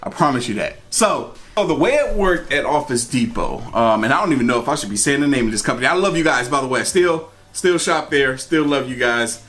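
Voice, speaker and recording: A man's voice: mid-range voice, animated, fairly quick, few pauses, fairly bright tone. A man telling a personal story in a casual, conversational way, repeating phrases for emphasis. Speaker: storytime vlogger. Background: music.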